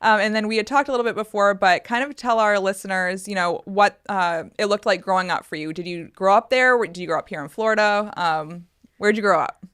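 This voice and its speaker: A woman's voice: medium pitch, brisk, a bit bright, smooth, dry recording, clean studio sound. A woman co-hosting a podcast, interviewing a guest in a conversational way. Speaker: podcast co-host.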